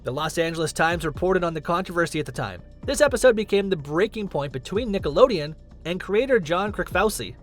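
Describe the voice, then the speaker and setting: A man's voice: high-pitched, animated, brisk. A man reading scripted countdown narration as the host of a list video. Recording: music under the voice. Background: background music.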